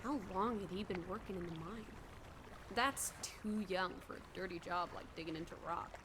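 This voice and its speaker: A woman's voice: lowish voice, normal speed, background noise, close to an everyday voice. A woman voicing a cheeky, playful teenage girl companion in a video game. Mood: inquisitive. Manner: teasing, playful, exclaiming.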